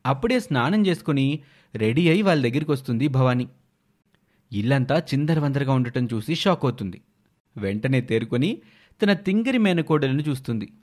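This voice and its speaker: A man's voice: medium pitch, expressive, moderate pace, a little mellow. A male narrator with a mid-range voice reads a scripted moral story for animation. He describes the scenes as a storyteller.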